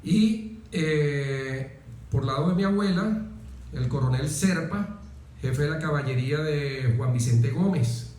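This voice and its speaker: A man's voice: a little high, darkish tone. Venezuelan man in a very echoey room. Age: 40s. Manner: deliberate, emphatic with pauses and repetition. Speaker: interview guest, military officer. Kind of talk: reflective, oratorical interview talk.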